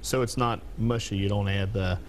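A man's voice: fairly low pitch, a little hiss or hum. A plain-spoken middle-aged chef man. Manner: plain-spoken and relaxed, with frequent "uh" pauses. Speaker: diner chef, guest. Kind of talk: cooking demonstration. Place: TV studio kitchen set.

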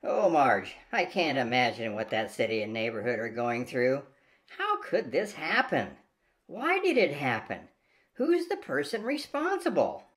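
Man playing concerned 1940s husband Elmer, animated. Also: medium pitch, unhurried. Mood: dismayed. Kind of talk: 1940s radio drama acting.

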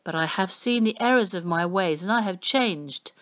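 A woman's voice: fairly low pitch, normal speed, a bit bright, dry recording, dull audio, natural voice. A woman voicing a humble, remorseful man in a storybook, meek and deferential. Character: humble, remorseful, scarecrow-thin man seeking lost boy.

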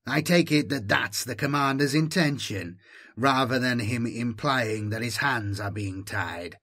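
Male narrator as knightly inquisitor, formal, reasoned, husky, audiobook role. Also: medium pitch, unhurried, bright, forceful tone, no echo, studio quality. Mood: measured.

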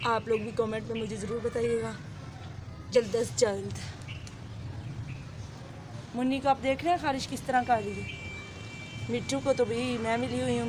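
A young woman with a hoarse throat, chatting casually to her viewers in a vlog and mixing in English words, recorded on a phone at arm's length outdoors. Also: on the high side, background noise. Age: young adult. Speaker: vlogger. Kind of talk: casual vlog chat to camera. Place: outdoors in a rural courtyard with goats. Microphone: phone held at arm's length.

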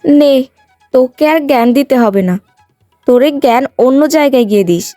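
A woman's voice: high-pitched, brisk, music in the background, natural voice. A woman voicing a vain, haughty, petulant young village woman in a cartoon moral tale. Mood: smug, scornful. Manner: boastful, petulant, complaining.

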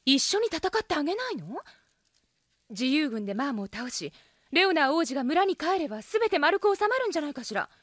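A woman's voice: high voice, big pitch swings, brisk, a bit bright, no echo. An actress playing an outspoken, self-assured young female elf with a blunt, feminine way of talking, in an anime audio drama. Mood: pointed, teasing.

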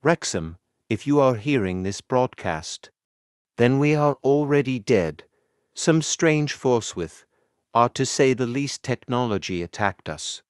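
A man's voice: medium pitch, lively intonation, a little slow, smooth, no echo, close to an everyday voice. A man playing a sombre survivor speaking plainly and seriously to a comrade in an amateur audio drama. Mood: resigned farewell. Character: sombre male survivor radioing his comrade.

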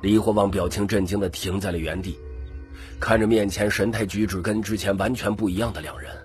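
A man's voice: lowish voice, steady tone, a little fast, faint music behind. A man narrating scripted fantasy fiction dramatically as an audiobook reader.

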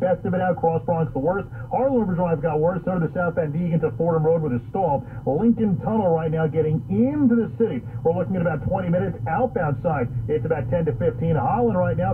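A man calling radio traffic in a brisk, slangy patter, his voice sitting a little high. It reaches us thin and phone-like, with steady noise behind. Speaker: traffic reporter. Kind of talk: rapid traffic report.

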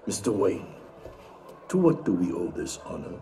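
A man acting a cagey older crime boss who owns a casino in a video game, formal, measured and politely evasive. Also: low-pitched, big pitch swings, dark tone. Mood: polite, guarded.

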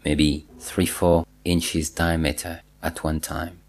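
A man guiding a body scan meditation, giving measured instructions in short phrases with pauses and repetition. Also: rumbling low, level tone. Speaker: meditation teacher.

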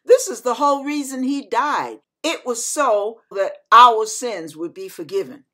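Female minister for devotional read: unhurried, husky-edged voice, earnest and instructive. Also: fairly high pitch, expressive, pushed and bright. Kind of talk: scripted devotional sermon.

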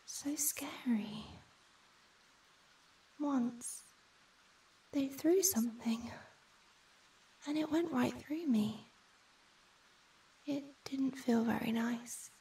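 Woman playing a very slow, whispery shy ghost girl. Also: high-pitched, distant mic, exaggerated voice, poor audio. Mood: hurt, fearful. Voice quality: soft, breathy, often whispering. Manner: slow, hesitant, tender. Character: shy, timid, gentle young ghost girl. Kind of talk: ASMR comfort roleplay.

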